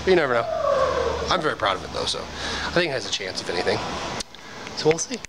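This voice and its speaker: A young man rambles and jokes at himself as he vlogs into a handheld camera. His voice swoops in a lively way, and loud store and street noise sits behind him. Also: medium pitch, quick pace. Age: 20s. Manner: rambling, self-mocking, jokey asides. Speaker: vlogger. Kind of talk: casual vlog to camera. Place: supermarket, gas station and hardware store. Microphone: handheld camera at arm's length.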